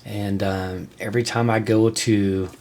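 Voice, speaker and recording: A man hosting his own channel, talking casually to viewers in an informal, explanatory and somewhat halting way. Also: lowish voice, flat delivery, a little mellow. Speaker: host of a channel on music reviews and tabletop games.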